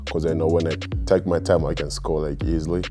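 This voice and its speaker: Deep-voiced young man from Tanzania. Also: flat delivery, fast talker, over music. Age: young adult. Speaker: college basketball player.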